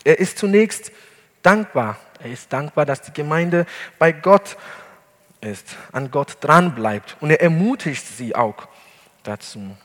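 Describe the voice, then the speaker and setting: Slow-preaching male pastor, non-native German. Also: a little high, animated, fairly bright tone. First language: not German. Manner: earnest, slow and deliberate preaching with emphatic stresses. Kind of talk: sermon. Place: church hall. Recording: long hall reverb.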